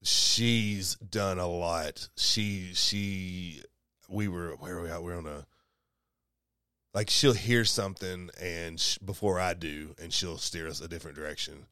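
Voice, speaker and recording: A soft-spoken man co-hosting a casual podcast chat, rambling with lots of restarts and quick laughs. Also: low voice, smooth, dry recording, studio quality. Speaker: podcast co-host. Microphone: studio mic.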